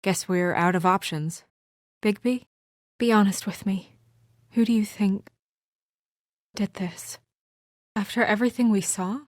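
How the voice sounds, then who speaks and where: A woman playing an earnest, weary and conscientious young administrator in a video game, speaking in a measured, serious, sometimes hesitant way. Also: mid-range voice, dry recording, studio quality, lightly acted. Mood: wary, probing. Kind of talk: naturalistic video game voice acting.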